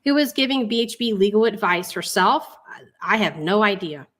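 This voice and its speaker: Woman, mid-pitched voice, livestream host, casual commentary, read-aloud passages, sarcastic and mocking delivery. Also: animated, normal speed, fairly bright tone. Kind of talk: live online commentary, reading a legal document aloud with casual asides.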